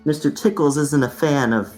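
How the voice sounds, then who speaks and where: A man's voice: on the high side, normal speed, a little mellow, soft background music, close to an everyday voice, poor audio. A man voicing a polite, flustered circus snake dancer who pleads with a coworker.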